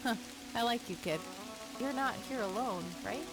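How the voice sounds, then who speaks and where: A woman's voice: a little high, normal speed, close to an everyday voice, low-quality recording. A woman voicing a blunt, sardonic young woman detective in a video game, with music playing behind her. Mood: dry. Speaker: detective heroine of an adventure game.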